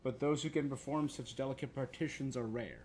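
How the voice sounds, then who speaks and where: A man's voice: medium pitch, brisk, darkish tone, slight background noise. A man giving casual live commentary as a game streamer, thinking aloud and echoing what he hears. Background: faint game music.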